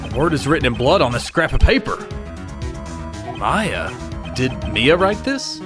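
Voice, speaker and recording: Earnest, jumpy young male lawyer played by a man, widely swinging pitch, dramatic game dub, music underneath. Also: sing-song, measured pace. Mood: pensive. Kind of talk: dramatic game fandub, inner monologue and dialogue.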